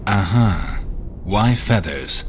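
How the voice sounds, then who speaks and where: A mercenary monster hunter in a fantasy video game. His voice is deep and gruff, his delivery wary, deadpan and terse. There is clear noise in the background. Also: slow pace. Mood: dry, guarded.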